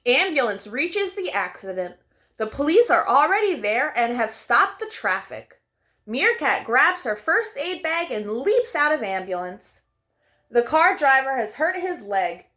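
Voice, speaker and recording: A woman's voice: sits high, sing-song, measured pace, bright, forceful tone. A woman hosting a children's storytime, reading picture books aloud expressively, with playful sound effects. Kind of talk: animated picture-book read-aloud for young children.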